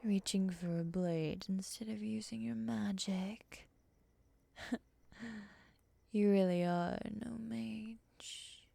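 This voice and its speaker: A woman playing a calm, condescending, predatory moth monster woman in an ASMR roleplay, speaking softly and often in a whisper. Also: medium pitch, slow, creaky, pauses often, no room sound, full character voice. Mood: mocking, menacing.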